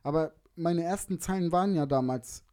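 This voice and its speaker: A man's voice: a little high, normal speed, dark tone, smooth. A man from Germany talking casually as a rapper in an interview, with slangy, colloquial phrasing. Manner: slangy, colloquial, often ends with tag words.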